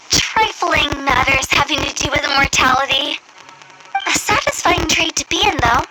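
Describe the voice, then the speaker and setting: A woman's voice: very high-pitched, very noisy. A woman voicing a mischievous, theatrical young female funeral director in a video game, with playful, cheerfully morbid sales patter and game music behind her. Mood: breezy, playful. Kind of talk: video game character acting.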